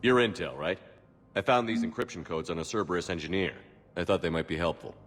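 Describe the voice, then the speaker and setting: Deep-voiced, firm military commander. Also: male voice, quick pace, fairly bright tone. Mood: businesslike. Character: male military commander, firm, principled, authoritative. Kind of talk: video game cutscene dialogue.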